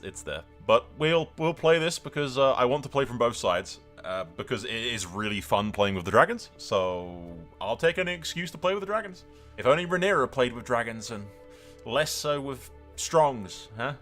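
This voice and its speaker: A man's voice: lowish voice, fairly bright tone. A man hosting a let's play in a casual, sweary, sarcastic way, with theatrical storytelling flourishes. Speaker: gaming video host. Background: music.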